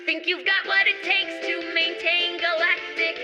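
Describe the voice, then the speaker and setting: Very high, peppy jingle singer. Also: female voice, little pitch movement, unhurried, over loud music. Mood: peppy, salesy. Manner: upbeat, salesy. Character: perky female recruitment jingle singer for space agency. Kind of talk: sung sci-fi advertisement jingle.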